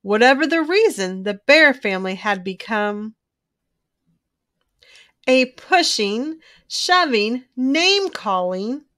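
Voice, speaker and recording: A woman reading a children's storybook aloud in an expressive, emphatic way, drawing out key words. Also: a little high, takes it slowly. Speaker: children's book reader.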